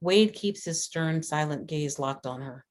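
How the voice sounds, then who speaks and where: Female narrator, very low pitch, measured delivery, scripted screenplay directions.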